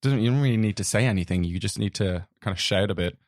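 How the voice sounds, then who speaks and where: Gravelly-voiced young man, dry sound. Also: on the low side, fast, no echo. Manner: rambling, laughs easily. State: hoarse from shouting the night before. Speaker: podcast co-host. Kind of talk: casual chat.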